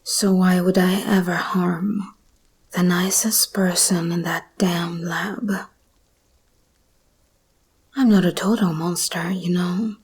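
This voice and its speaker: A woman playing an escaped hybrid monster girl, possessive yet tender, in an intimate, breathy whispered ASMR roleplay. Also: on the low side, fairly even intonation, darkish tone, exaggerated voice. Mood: tender, reassuring. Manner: slow, intimate, intense.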